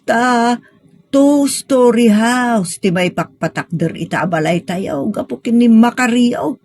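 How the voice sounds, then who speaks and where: A woman's voice: on the high side, animated, takes it slowly, a little mellow. A woman playing a middle-aged, money-minded mother in an audio drama, coaxing and insisting with her grown daughter. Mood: insistent, pleased. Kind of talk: sentimental audio drama acting.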